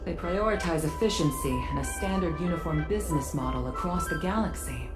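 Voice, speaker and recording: A woman playing a composed, diplomatic senior commission leader in a video game, speaking in a measured, formal, polite way. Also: low voice, expressive. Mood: calm, reflective. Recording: reverberant, under loud background music. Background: loud music.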